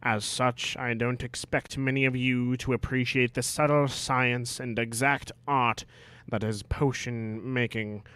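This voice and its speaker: A man voicing a stern, irritable potions professor in a haughty, deliberate, theatrical way. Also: mid-range voice, little pitch movement, fairly bright tone, clean tone, natural voice. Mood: haughty, grave.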